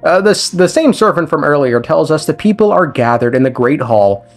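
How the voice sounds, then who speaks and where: A man's voice: on the high side, animated, moderate pace. A man giving a casual scripted recap of a video game story as a lore commentator, with irreverent, sweary, slangy asides. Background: background music.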